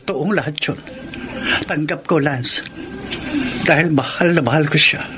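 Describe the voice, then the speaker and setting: A man's voice: on the high side, measured pace, background noise, lightly acted. A Filipino man acting a wounded but forgiving young groom in a romantic radio drama, earnest and solemn. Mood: solemn devotion. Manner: earnest, solemn, self-sacrificing.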